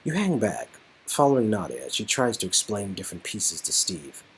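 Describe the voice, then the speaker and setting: A man's voice: lowish voice, big pitch swings, moderate pace, a little hiss or hum, rough recording. A man reading an interactive story game aloud as narrator, with casual joking asides.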